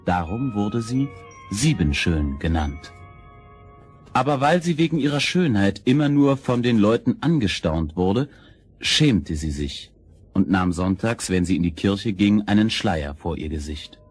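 Low-voiced male fairy-tale narrator over music. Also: expressive, measured pace, slightly rough. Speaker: storyteller, narrator.

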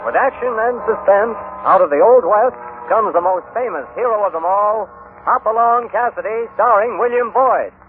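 A man's voice: high-pitched, normal speed, over loud music. An American man announcing and narrating a vintage radio western in a dramatic, declamatory scripted style. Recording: muffled, narrow vintage radio recording.

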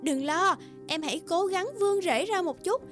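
A woman's voice: very high-pitched, moderate pace, soft background music. A woman voicing a kind, generous big-sister pond spirit in a children's cartoon fairy tale. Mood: reassuring. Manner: gentle, reassuring.

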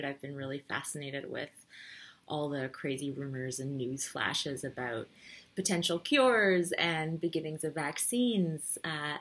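A woman's voice: low-pitched, slightly rough. A woman in her thirties, a playwright talking conversationally to camera in a wry, emphatic way. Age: 30s. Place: bright bedroom, indoors.

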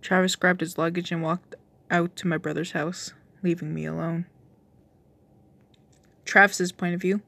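Slow-paced woman reading a story. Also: fairly low pitch, little pitch movement, gravelly, a bit bright. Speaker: narrator. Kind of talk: amateur fan fiction story reading.

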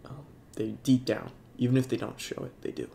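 Young man, gravelly congested voice, halting and soft-spoken, faint hiss behind. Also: mid-range voice, flat delivery. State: congested, sniffling. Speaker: YouTuber. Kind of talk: casual talk over gameplay.

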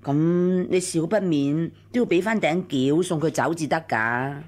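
An actress playing a shrewd old matchmaker in a radio drama, knowing, colloquial and ingratiating in manner. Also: female voice, low-pitched, unhurried, a little mellow. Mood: ingratiating, sly. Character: shrewd, blunt old matchmaker woman, wheedling and worldly. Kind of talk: Cantonese radio drama acting.

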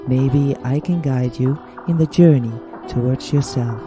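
A woman with a Dutch accent, a coach and podcast host, speaking in a calm, careful, reflective solo monologue. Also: very deep voice, a little slow. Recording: soft music underneath.

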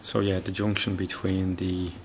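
Low-voiced, halting man. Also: level tone, a little hiss or hum. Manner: halting, thinking aloud. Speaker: hobbyist presenter. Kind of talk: casual DIY demonstration talk.

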